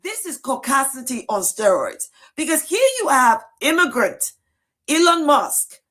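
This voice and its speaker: A woman's voice: sits high, sing-song, unhurried, fairly bright tone. A woman giving indignant, cutting political commentary in a video.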